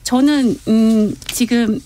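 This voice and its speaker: A somewhat high female voice you'd hear from the guest chair of a radio politics show, carefully weighing each point. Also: fairly even intonation, slow pace, warm tone. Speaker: political aide, panel guest. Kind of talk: conversational radio panel commentary. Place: radio studio.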